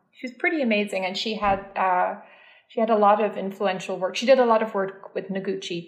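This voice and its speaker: A woman in a casual conversation, telling animated stories about her work as a dance archive curator. Her voice sits in a middle pitch, and the recording carries a roomy echo. She laughs easily and often restarts her sentences as she talks.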